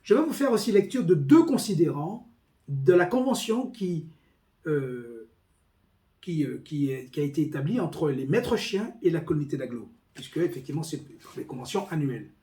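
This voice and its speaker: A man speaking as a police union representative, giving a formal, deliberate, emphatic report on a meeting. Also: a little high, lively intonation, dark tone. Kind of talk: spoken meeting report with quoted documents.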